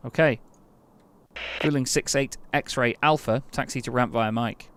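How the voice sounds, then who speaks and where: A man's voice: medium pitch, measured pace, a bit bright. A man playing an air traffic control game, chatting casually while reading out call signs and getting exasperated when commands fail. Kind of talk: casual gameplay commentary with radio calls.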